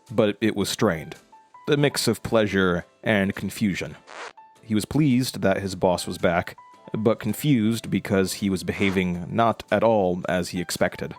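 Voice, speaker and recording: An actor playing a grim narrator who tells his own horror story in a measured, scripted storytelling manner. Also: deep voice.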